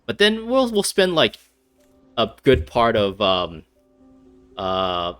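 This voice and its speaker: A man with a lowish voice and a bright, forceful edge talks through a video game in a loose, rambling way. He fills his thoughts with "like" and "um" and laughs easily, over faint game music. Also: wildly swooping pitch, takes it slowly. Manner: rambling, many fillers, laughs often. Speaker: gameplay streamer. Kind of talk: casual commentary over gameplay.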